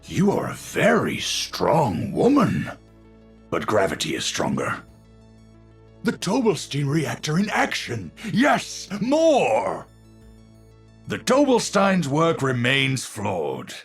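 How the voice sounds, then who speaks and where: An actor playing an eccentric older physicist in a video game, gentle and brilliant yet unhinged, speaking formally and precisely with a breathy, husky voice. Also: male voice, medium pitch, huge pitch swings, takes it slowly, faint music behind, heavily acted. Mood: grandiose, fervent. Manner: precise, formal, musing, erratic swings into fervour. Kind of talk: video game character voice lines.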